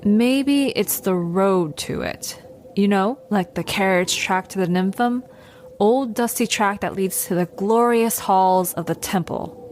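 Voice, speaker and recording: Dry, practical monk played by a woman for comic fantasy audio drama; slow, understated, slight background noise. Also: mid-range voice, darkish tone, close to an everyday voice. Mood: reassuring.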